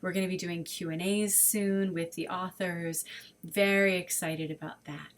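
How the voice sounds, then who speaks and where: A woman's voice: lowish voice, fairly even intonation, measured pace. A woman who leads an online prayer service, making earnest, inviting announcements and listing ideas in long runs. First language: English. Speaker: program director and service leader.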